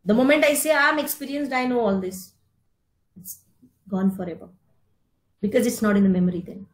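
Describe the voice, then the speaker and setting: Female lecturer, Indian accent, middle-aged. Slightly high pitch, didactic phrasing, strong room echo. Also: steady tone, fairly quick. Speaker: speaker giving a yoga philosophy talk. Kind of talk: lecture with explanations of terms. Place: indoor room, online meeting. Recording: roomy, echoing virtual meeting audio.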